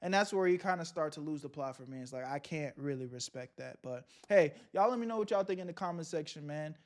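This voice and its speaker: A young man streaming live, chatting casually with his viewers in loud, laughing, slang-heavy banter. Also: fairly high pitch, animated, fast talker. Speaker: streamer reacting to videos.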